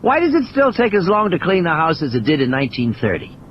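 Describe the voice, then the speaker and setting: Man acting cynical, animated, preachy scientist. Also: fairly high pitch, fast, muffled recording, slight background noise, pitched above the performer's own voice. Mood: irritable. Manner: emphatic philosophical ranting in insistent repetitions. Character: injured, cynical male scientist, irritable and preachy.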